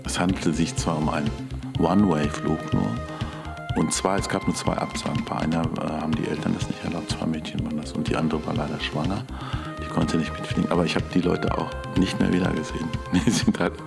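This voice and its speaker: A man from Germany with an extremely deep, gravelly voice tells anecdotes as an interviewee. Music plays behind him. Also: little pitch movement, a little fast.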